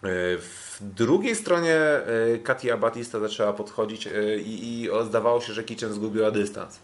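Podcast host, male, slightly low voice, casual sports analysis, hesitant delivery full of filler pauses. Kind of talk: sports recap commentary, casual chat.

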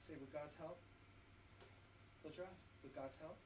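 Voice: mid-range voice, unhurried. Parent leading family prayer, noisy home recording. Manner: instructing, prompting in short phrases.

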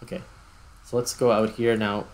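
A man's voice: lowish voice, little pitch movement, moderate pace, a little hiss or hum. A man giving casual gameplay commentary as he hosts. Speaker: host, gamer. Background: background music.

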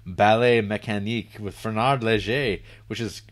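A man's voice: fairly low pitch, fairly even intonation, moderate pace. A man co-hosting a podcast, chatting casually with wry, dry jokes. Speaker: podcast co-host. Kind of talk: casual banter.

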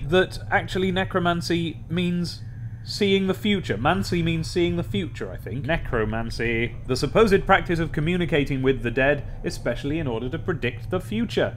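A man's voice: a little high, a bit bright, a little hiss or hum. A young British man giving casual, wry game commentary with rambling asides and bits of dramatic reading, close on a desk microphone. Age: young adult. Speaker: let's play host. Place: small indoor room at a desk. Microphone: desk microphone with pop filter, close. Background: game music.

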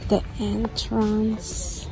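A woman's voice: medium pitch, fairly even intonation, very slow, gravelly, rough recording. A woman with a Filipino accent, casually narrating a vlog at an outdoor camp and describing what she sees. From Philippines. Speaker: vlogger.

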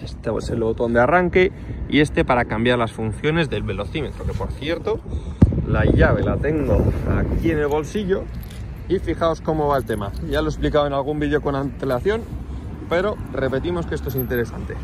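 A man's voice: mid-range voice, expressive, normal speed. Spanish man vlogging over outdoor noise. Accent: Spanish from Spain. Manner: informal, explanatory, chatty asides. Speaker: motorcycle workshop builder and channel host. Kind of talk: casual hands-on vlog walkthrough. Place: outdoors in a paved car park. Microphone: handheld phone held by the speaker. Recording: clear outdoor street and vehicle noise.

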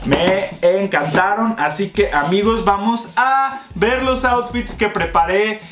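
A man's voice: sits high, slow pace, fairly bright tone. A young man giving a casual, enthusiastic review to viewers he calls friends, seated at a desk indoors. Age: young adult. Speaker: fashion vlogger. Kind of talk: casual product review vlog. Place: indoor room with shelves. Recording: echoey room with faint music.

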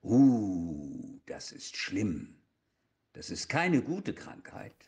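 An actor playing a menacing, irritable teacher turned kidnapper in an audio drama, with drawn-out, threatening delivery. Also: male voice, on the low side, very animated, vocal fry, a little mellow, full character voice. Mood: grim, menacing. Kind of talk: amateur German radio play acting.

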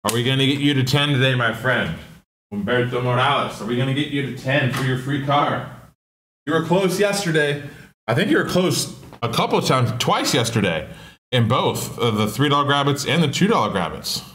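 A man's voice: mid-range voice, animated, moderate pace, a bit bright, a little hiss or hum. A man hosting a live-stream auction, repeating himself and hyping each item in an upbeat patter.

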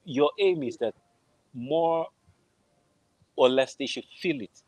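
A man with a Nigerian accent answers interview questions in a conversational way. His pitch is middling, and he speaks as a guest over a video call on earphones. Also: slow pace, background noise. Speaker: guest, film composer. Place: room at home, on a video call. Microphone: wired earphones on a video call.

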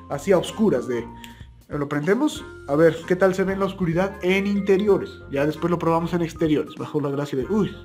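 A young man speaking in a casual, chatty, folksy way as he hosts a product-test vlog. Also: high-pitched. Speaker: video host. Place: plain indoor room, also outdoors at night.

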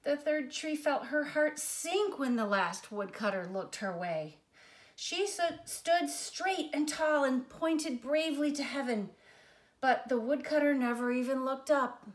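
A middle-aged woman reading a picture book aloud, with expressive, measured and emphatic storytelling phrasing. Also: high-pitched. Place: living room armchair, indoors.

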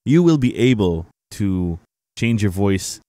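A young man hosting a casual software tutorial, explaining things informally to viewers on a close microphone. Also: lowish voice, big pitch swings, takes it slowly. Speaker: tutorial host, gaming streamer. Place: indoor home streaming setup. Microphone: close boom-arm microphone.

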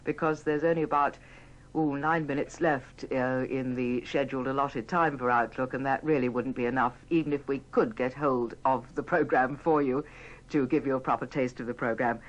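On a dull old radio recording, a man makes a live announcement at medium pitch. He is polite and apologetic, rambling as he improvises. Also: steady tone, normal speed. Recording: dull band-limited old radio broadcast.

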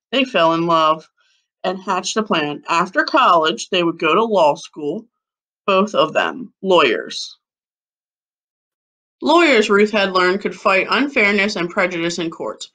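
A woman in her thirties reading a children's picture book aloud like a schoolteacher, with deliberate phrasing. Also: lowish voice, bright, forceful tone. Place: living room at home, seated on a couch. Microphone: webcam or laptop mic at about arm's length.

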